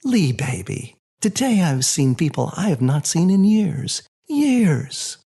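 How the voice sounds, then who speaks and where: A man's voice: a little high, very animated, takes it slowly, vocal fry, dark tone, breathy edge, voice pushed up, full character voice. Man voicing a tender, serene mother in a dream. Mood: fond, wondering.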